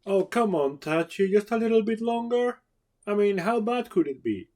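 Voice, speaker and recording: Man voicing a high, whiny young woman. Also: animated, moderate pace, a little mellow. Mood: pleading. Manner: whiny, pleading. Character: eager, complaining young woman forager.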